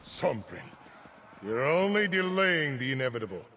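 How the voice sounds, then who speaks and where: A man's voice: mid-range voice, sing-song, normal speed, pushed and bright, slight background noise. An actor playing a smug, ruthless male cultist villain, taunting and grand, in a fantasy video game. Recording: game music under the voice.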